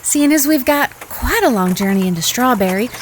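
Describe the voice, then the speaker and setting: Woman playing a genteel, slightly high-voiced frontier lady. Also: lively intonation, moderate pace, noisy background, natural voice. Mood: curious, fond. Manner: genteel, politely formal, coaxing. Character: genteel Old West lady, sweet and curious. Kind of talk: Western audio drama acting.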